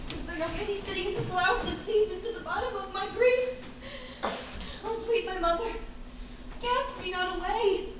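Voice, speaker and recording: Actress as an earnest, desperate young daughter, very high voice, distant and echoey. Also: female voice, moderate pace, long pauses. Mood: despairing. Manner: earnest, shifting from defiance to pleading. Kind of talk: Shakespearean stage acting. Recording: echoey stage from far off, faint noise.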